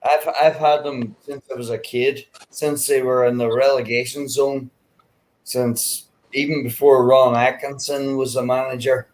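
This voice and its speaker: A man's voice: medium pitch, level tone, a little slow, darkish tone, low-quality recording. Middle-aged Northern Irish man, chatty. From Northern Ireland. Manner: leans on "you know", restarts words. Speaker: stream guest. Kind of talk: casual live-stream chat.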